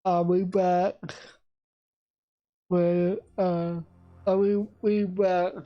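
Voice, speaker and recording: Very slow, halting woman streamer. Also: on the low side, highs cut off. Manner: halting, drawn-out, trailing off. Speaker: game streamer. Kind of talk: casual livestream chat.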